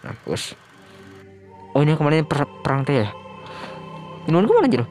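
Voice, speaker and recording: An Indonesian man hosting a reaction video with casual, slangy, excitable commentary. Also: on the high side, moderate pace. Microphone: close microphone at home. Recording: music behind the voice, some room noise.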